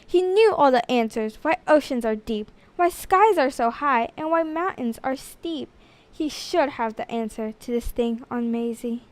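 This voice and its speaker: A woman reading a rhyming children's story aloud in an expressive storytelling style. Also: high voice, measured pace. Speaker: storybook reader.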